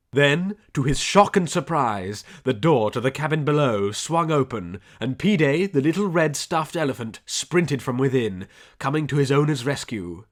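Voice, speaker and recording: A man reading a scripted adventure story as an audiobook narrator, with dramatic delivery. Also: mid-range voice.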